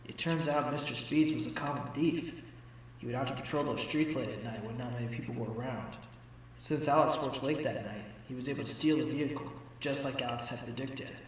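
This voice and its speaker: A man's voice: medium pitch, brisk, distant mic, a little hiss or hum, close to an everyday voice. A man playing a wry, earnest fox who is a rookie defense attorney in an amateur audio drama. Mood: reflective. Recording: roomy, off-mic, under loud music.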